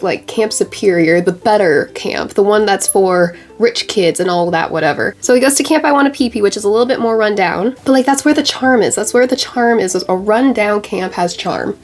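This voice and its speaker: Chatty congested young woman vlogger. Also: mid-range voice. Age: young adult. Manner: chatty, bubbly, lots of "like" fillers. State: congested from a cold. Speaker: beauty vlogger. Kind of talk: casual chat while doing makeup.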